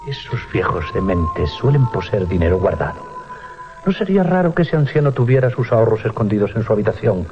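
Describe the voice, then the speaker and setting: A sly, scornful thief talks in a fairly low voice with a Spain accent. It is 1980s radio drama, muffled, with music behind. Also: male voice, sing-song, fairly quick. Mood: scheming. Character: scornful, scheming male thief, sly and skeptical.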